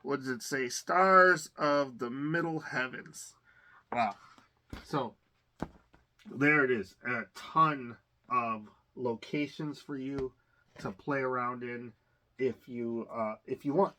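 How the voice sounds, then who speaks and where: A man with a bright, clear-edged voice at an average pitch talks casually through an unboxing. He rambles and hesitates as he thinks aloud, sounding like someone slightly under the weather. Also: takes it slowly. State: under the weather, occasional cough. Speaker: host of a collector's unboxing channel.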